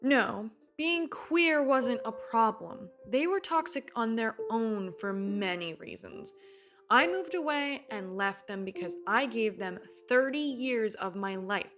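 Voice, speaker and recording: A woman playing a warm, candid, resilient survivor in a radio play. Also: on the high side, lively intonation, takes it slowly, soft background music, exaggerated voice. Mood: heartfelt.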